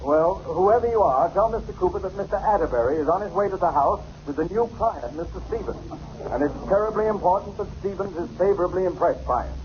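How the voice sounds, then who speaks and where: A man's voice: high voice, expressive, quick pace, darkish tone. A man playing a brusque, impatient boss in a 1940s radio comedy. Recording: noisy, muffled old-time radio recording.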